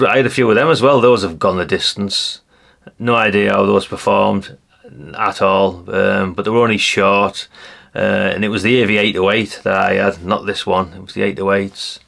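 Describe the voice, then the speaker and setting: Male hobbyist presenter, English accent, deepish and gravelly; loose, colloquial, matter-of-fact commentary, no script. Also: a bit bright. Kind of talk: casual unscripted show-and-tell commentary.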